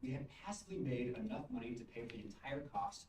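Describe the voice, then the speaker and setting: A young man delivering a rehearsed startup pitch as a student founder. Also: expressive, quick pace. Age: young adult. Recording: roomy, distant mic, faint noise.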